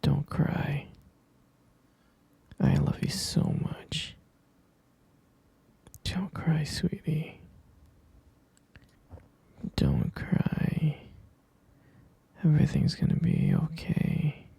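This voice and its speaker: A dark, whispered male voice, a man playing an obsessive yandere lover who holds his beloved captive. He coaxes slowly and insistently, tender and possessive, with a heavy gravelly creak. It is an intimate, close-up ASMR roleplay. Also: a little high, dry recording, heavily acted. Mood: soothing, possessive. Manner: coaxing, slow, cooing, insistent. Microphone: close-up microphone.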